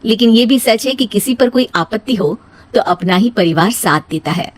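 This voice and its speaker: A woman's voice: on the high side, lively intonation, moderate pace. A woman storyteller giving a scripted narration of an emotional moral story.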